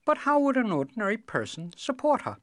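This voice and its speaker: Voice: medium pitch, wildly swooping pitch, a little fast, a bit bright, no echo, studio quality, voice pushed up. A storyteller voicing a gloomy, skeptical cobbler who grumbles bluntly and haggles stubbornly. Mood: unimpressed.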